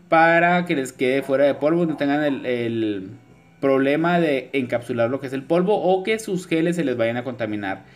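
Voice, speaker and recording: A man narrating a nail art tutorial over the footage, chatty and informal, full of fillers and asides to viewers. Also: a little high. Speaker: nail artist teaching acrylic nail design.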